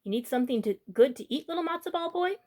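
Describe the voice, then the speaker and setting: A woman's voice: sits high, animated, fast talker, dark tone, voice pushed up. A woman voicing a poor, kindly storybook man who is hospitable and coaxing.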